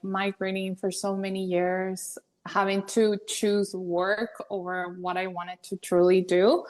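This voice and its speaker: A young woman from Venezuela, a podcast guest talking in a casual, chatty way and laughing often. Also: mid-range voice. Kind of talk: casual interview conversation.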